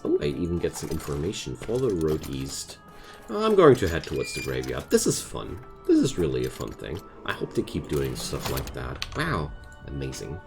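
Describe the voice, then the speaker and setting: A man's voice: rumbling low, creaky. A man with a German accent giving casual gameplay commentary, thinking aloud as he plays. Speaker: gaming video creator. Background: music.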